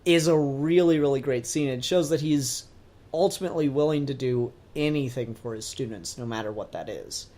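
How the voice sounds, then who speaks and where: A podcast co-host talking casually about pop culture, informally and with swearing, putting animated emphasis on points. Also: medium pitch, moderate pace.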